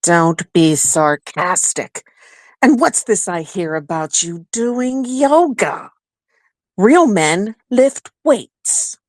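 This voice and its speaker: A woman's voice: medium pitch, expressive, no echo. A woman playing a nagging, old-fashioned, disapproving grandmother in an audio play, scolding in manner. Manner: scolding, slow and dramatic.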